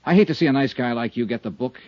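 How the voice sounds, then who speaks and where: A man's voice: medium pitch, quick pace, darkish tone, no room sound, natural voice. A man playing a hard-boiled insurance investigator who narrates an old radio detective drama in a firm, pressing way. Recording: dull old radio recording.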